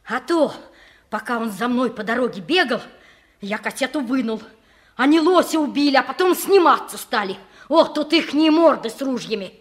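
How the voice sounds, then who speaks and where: A woman playing a defiant, earnest schoolboy of about twelve in a 1960s Soviet radio play, excitable and insistent. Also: sits high, normal speed. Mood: confiding, proud.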